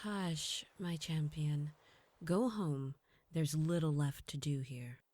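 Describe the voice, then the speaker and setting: A woman playing a solemn, calm guardian angel with a measured delivery in an audio drama. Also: low-pitched. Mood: soothing.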